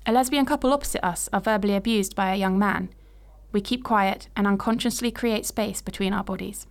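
A woman in her late twenties, from England, hosting a podcast and reading a personal story in a measured, reflective way. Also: medium pitch. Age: 20s. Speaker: podcast host.

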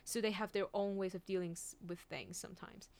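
A woman's voice: medium pitch, steady tone, brisk, vocal fry, a little mellow. A woman from China, a native Cantonese speaker, explaining things informally and thoughtfully in conversation. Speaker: discussion participant.

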